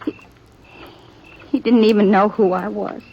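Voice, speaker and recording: An actress playing a shy, earnest young American country girl in a 1950s radio Western, speaking in a halting, plaintive way. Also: mid-range voice, steady tone, slightly rough. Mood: hurt, downcast. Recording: dull lo-fi vintage radio, background noise.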